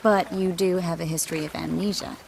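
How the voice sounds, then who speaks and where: An actress playing a playful, self-assured young sorceress in a video game, with a teasing manner. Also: female voice, fairly low pitch, fairly even intonation, brisk, vocal fry, background noise. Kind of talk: video game dialogue acting.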